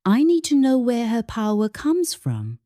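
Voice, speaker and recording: A woman's voice: fairly high pitch, wildly swooping pitch, a little slow, warm tone, dry recording, studio quality, pitched above the performer's own voice. A woman with a Nigerian accent voicing an anxious young woman in a folktale, polite but determined.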